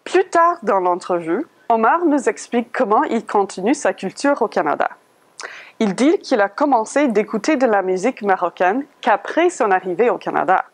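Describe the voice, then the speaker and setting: A woman's voice: medium pitch, expressive, normal speed. Woman narrating a museum tour. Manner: reads a prepared script, posing questions. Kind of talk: scripted guided-tour narration.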